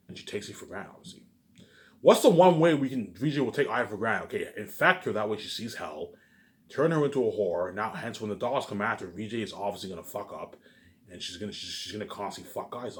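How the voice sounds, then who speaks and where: A man's voice: lowish voice, expressive, quick pace. A man giving a rambling, repetitive personal monologue, restarting sentences, leaning on verbal tags and starting emphatically before trailing off into mutters. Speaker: vlogger.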